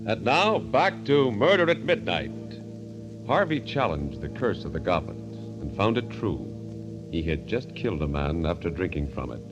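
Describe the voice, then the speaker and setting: Very deep, ominous vintage radio horror announcer, male. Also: very animated, measured pace. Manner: ominous, theatrical, portentous. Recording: muffled vintage radio broadcast over music. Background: dramatic music.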